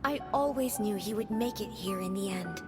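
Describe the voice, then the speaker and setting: A woman voicing the gentle, wistful spirit of a departed goddess in a video game, tender and formal. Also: mid-range voice, normal speed, close to an everyday voice. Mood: tender, glad. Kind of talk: video game drama dialogue. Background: game music.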